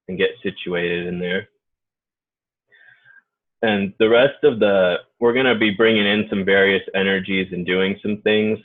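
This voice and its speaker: A man leading an unscripted guided group meditation in an informal, halting way. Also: low voice. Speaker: guided meditation leader and healer. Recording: muffled phone-like narrow-band audio.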